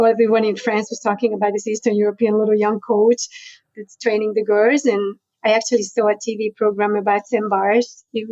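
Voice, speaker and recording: A woman's voice: medium pitch, darkish tone, dry recording, highs cut off. A middle-aged woman from Hungary with a Hungarian accent, chatting as a guest in an interview and telling her story with many asides. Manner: storytelling, chatty, frequent "you know" and laughs. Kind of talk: conversational interview.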